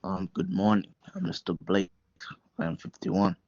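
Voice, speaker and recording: A man's voice: low-pitched, big pitch swings, unhurried, gravelly, darkish tone, dry recording, muffled recording, exaggerated voice. A man voicing a fifty-one-year-old married handyman and reading a short profile aloud with some hesitation. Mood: plain.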